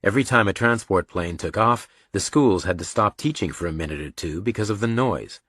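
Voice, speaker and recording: A man with a fairly low voice narrates a thriller audiobook. The delivery is scripted storytelling, recorded dry and close, with hardly any room in the sound. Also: fairly quick, fairly bright tone, no echo.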